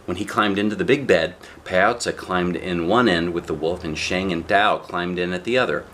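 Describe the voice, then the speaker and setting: A man reading a picture book aloud as a dad does, in expressive narration with casual asides. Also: low-pitched, moderate pace, slight background noise.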